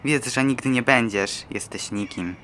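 A man's voice: mid-range voice, normal speed, fairly bright tone, close to an everyday voice. A young man playing a haughty, mocking figure of envy in a radio play. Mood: scornful. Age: young adult. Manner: mocking, scornful. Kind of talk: amateur radio play acting.

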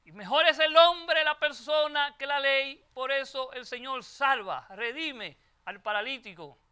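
Older male priest, high bright timbre, preaching a homily into a lectern mic in a chapel. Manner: measured, emphatic preaching with repeated phrases. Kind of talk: Gospel reading and homily at Mass. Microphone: lectern microphone.

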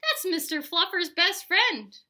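A woman's voice: on the high side, big pitch swings, normal speed, bright, forceful tone. A woman voicing a stubborn young boy in a storybook, with a melodramatic, pleading manner. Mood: dramatic, anguished. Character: dramatic, stubborn young boy attached to his toys.

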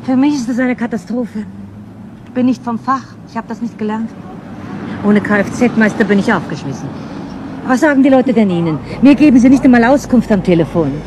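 High-voiced, plaintive, overwhelmed woman, acted. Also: expressive, normal speed, natural voice. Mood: distressed. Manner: agitated, complaining. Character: overwhelmed, plaintive businesswoman out of her depth. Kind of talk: 1970s German crime radio play acting. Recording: noisy old radio recording.

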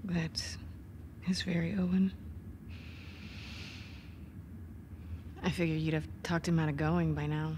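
A woman's voice: low-pitched, fairly even intonation, normal speed, darkish tone, a little hiss or hum, lightly acted. An actress playing a tough, guarded young female soldier in a video game drama, terse and understated. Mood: guarded, defensive. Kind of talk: naturalistic video game cutscene acting.

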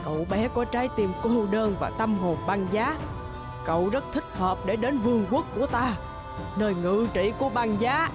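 A woman's voice: medium pitch, normal speed, over music, close to an everyday voice. A woman voicing a haughty, menacing snow queen in a children's cartoon, taunting and imperious. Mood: gloating.

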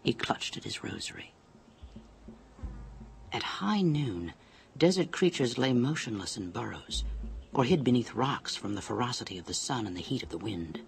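A man's voice: medium pitch, big pitch swings, normal speed, creaky, slight background noise. A man giving a suspenseful, theatrical narration of a scripted story.

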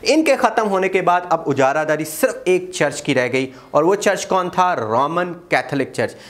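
A man gives an exam-prep history lecture. He gestures a lot, repeats key dates and often pauses with "uh". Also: on the high side. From Pakistan. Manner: animated, gestures widely, repeats key dates, frequent "uh" fillers. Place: small room in front of a whiteboard. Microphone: lapel mic.

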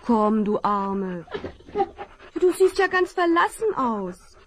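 An anxious rancher's daughter. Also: female voice, a little high, big pitch swings, takes it slowly, a little hiss or hum. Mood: tender. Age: young adult. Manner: pleading, anxious, tender. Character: young female rancher's daughter, frightened but kind. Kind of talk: 1970s German radio play acting.

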